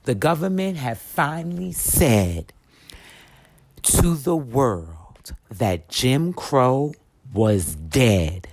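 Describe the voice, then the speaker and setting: A woman reading a history book for children aloud as expressive storytelling, with deliberate, emphatic, dramatic phrasing. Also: rumbling low, breathy edge.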